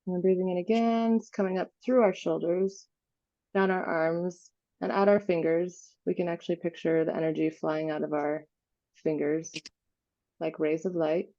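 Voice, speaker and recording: A woman leading an unscripted guided visualization meditation, giving gentle instructions with pauses. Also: fairly low pitch, level tone, dull audio.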